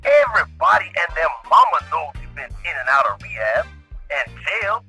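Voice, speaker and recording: A man's voice: on the high side, normal speed, husky, soft background music, exaggerated voice. A man playing a streetwise friend who gives blunt advice in a radio drama. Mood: advising. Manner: animated and preachy.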